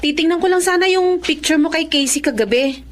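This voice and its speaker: A woman's voice: very high-pitched, brisk, a little hiss or hum. A woman playing a suspicious, hurt young wife and mother in an emotional Filipino radio drama. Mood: accusing.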